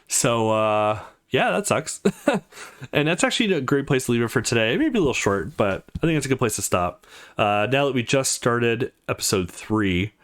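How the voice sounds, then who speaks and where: A man's voice: medium pitch, big pitch swings, moderate pace, fairly bright tone. A man hosting a let's play, giving casual commentary on a game. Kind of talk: reading game dialogue aloud and casual commentary.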